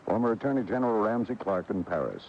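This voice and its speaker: A man's voice: on the low side, sing-song, fast talker. An American man reading scripted news as a radio anchor. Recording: dull radio broadcast with faint noise.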